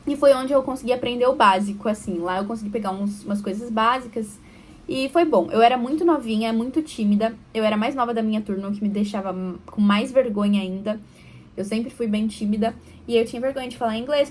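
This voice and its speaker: A young woman with a Brazilian Portuguese accent, chatting casually to camera in a vlog, self-deprecating and quick to laugh. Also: on the high side, slight background noise. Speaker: vlogger.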